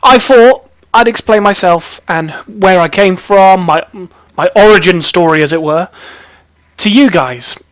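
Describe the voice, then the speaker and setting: A man's voice: high voice, measured pace, fairly bright tone, no room sound. A young man with an English accent, chatting casually about himself as a vlog host in a rambling, playful, self-interrupting way. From British, raised in army family in Germany, Hong Kong and England. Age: young adult. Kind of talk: casual chatty personal storytelling to camera.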